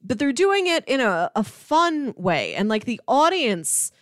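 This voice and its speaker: A woman's voice: fairly high pitch, sing-song, a little slow, dry recording. Enthusiastic woman podcast co-host, dry close sound. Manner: enthusiastic, conversational, peppers talk with "like". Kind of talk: casual film discussion chat.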